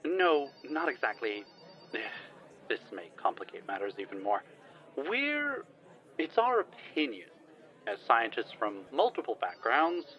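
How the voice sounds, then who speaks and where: A man's voice: medium pitch, huge pitch swings, measured pace, dull audio. An actor playing a flustered, formal older male scientist in an audio drama, speaking wordily with nervous hesitations. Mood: hesitant.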